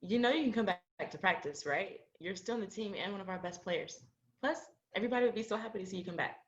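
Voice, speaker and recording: Fast-talking, encouraging young woman played by an actress. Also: mid-range voice, natural voice. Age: young adult. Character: supportive, upbeat young female teammate. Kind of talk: drama dialogue. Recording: dull, slightly lo-fi audio.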